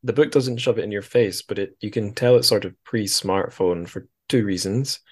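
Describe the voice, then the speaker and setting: A man's voice: lowish voice, normal speed, slightly rough. A man hosting a podcast in a casual book discussion, chatty and wry, rambling as he goes. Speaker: podcast host.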